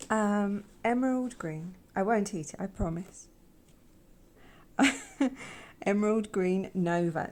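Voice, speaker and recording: A woman with a croaky voice from a sore throat, softly talking through a painting tutorial in quiet, half-whispered asides. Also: on the low side, slight background noise. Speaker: art channel host. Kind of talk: soft-spoken painting tutorial.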